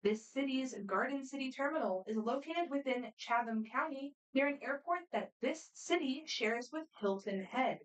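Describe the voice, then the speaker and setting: A woman moderating a student quiz competition, reading questions aloud in a brisk, friendly, matter-of-fact way. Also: high-pitched. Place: competition room. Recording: voice picked up from a distance.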